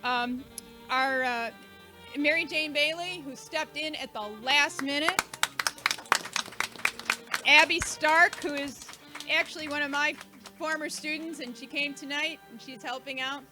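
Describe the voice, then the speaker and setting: A woman's voice: high-pitched, fairly even intonation, measured pace, long pauses, over loud music. A woman hosting an event, giving an informal, chatty, joking thank-you speech to a live audience. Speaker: event host and organiser.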